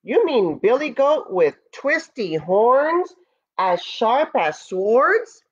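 Big timid storybook bull woman reader, courteous excuse-maker, animated delivery. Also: fairly high pitch, takes it slowly, muffled recording, voice pushed up, full character voice. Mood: nervous. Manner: apologetic. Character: big fearful bull, politely making excuses. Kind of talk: children's storybook character reading.

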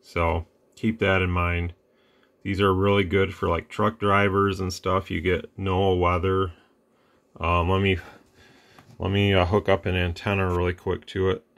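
A man hosting a casual radio demo at his workbench, talking in a relaxed, plain-spoken way with rambling asides. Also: low-pitched, measured pace. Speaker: radio repair channel host.

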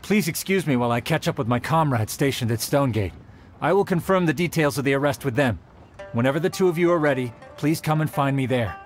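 An actor playing an earnest, dutiful young soldier in a fantasy video game, speaking formally and courteously in measured phrases. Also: male voice, medium pitch, expressive, faint music behind, close to an everyday voice. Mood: respectful. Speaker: game character giving quest briefings. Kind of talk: scripted video game dialogue.

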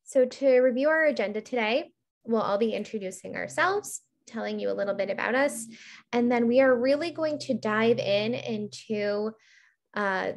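A woman hosting and moderating an online webinar, upbeat and organized with occasional ums, over a computer mic. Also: medium pitch. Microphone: computer mic on a video call.

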